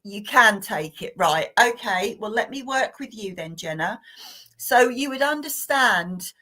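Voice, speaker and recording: Woman, medium on a live stream, gentle, halting, lots of ums and restarts, mid-pitched voice. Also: animated. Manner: hesitant and repetitive, many fillers and restarts. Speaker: medium giving live readings. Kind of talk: live-stream psychic reading.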